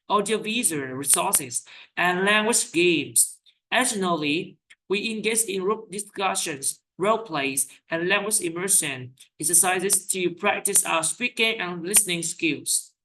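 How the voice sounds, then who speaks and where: An IELTS teacher reading sample speaking-test answers aloud, with quick self-corrections. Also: medium pitch, pushed and bright, poor audio. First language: Vietnamese. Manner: reads out questions and answers, corrects own slips with "sorry".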